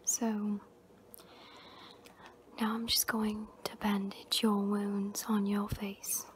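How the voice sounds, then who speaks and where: A woman in her twenties playing a gentle young scavenger turned Jedi in a whispered ASMR roleplay, soft, breathy and soothing, close to the mic. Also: mid-range voice, monotone, lightly acted. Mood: soothing, caring. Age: 20s. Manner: slow, hushed, soothing, with long pauses. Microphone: close to the mouth. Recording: noisy close-up homemade recording.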